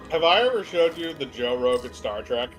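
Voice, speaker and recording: A man chatting casually as co-host of a game stream. His pitch swings freely in a joking, informal delivery, and faint music plays behind him. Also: lively intonation, a little fast, fairly bright tone, low-quality recording. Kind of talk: casual chat over gameplay.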